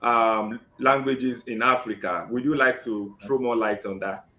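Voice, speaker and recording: A Nigerian man hosting a live job seeker interview, often saying "great" and filling pauses with "um". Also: mid-range voice, steady tone, bright, forceful tone, reverberant. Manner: keeps saying "great" and fills with "um".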